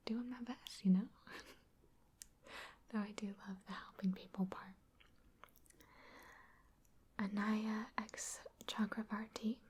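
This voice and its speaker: A woman from Wisconsin, an ASMR creator, answering viewer questions in a soft, chatty, self-deprecating whisper. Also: medium pitch, a little hiss or hum. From Wisconsin, USA.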